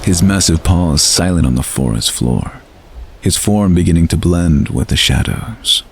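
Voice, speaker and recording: A man's voice: deep voice, expressive, a little slow, slightly rough. A man narrating a scripted fantasy audio story.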